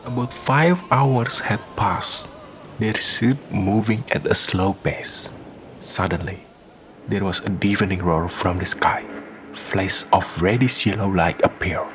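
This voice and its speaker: A man narrating a scripted radio drama in measured, dramatic short phrases. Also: low-pitched, fairly bright tone, soft background music.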